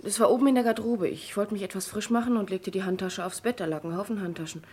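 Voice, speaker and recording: An actress as an excited young woman in a radio crime drama. She talks quickly in a husky, breathy, mid-pitched voice. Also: lightly acted. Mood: agitated, confiding. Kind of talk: German crime radio play acting.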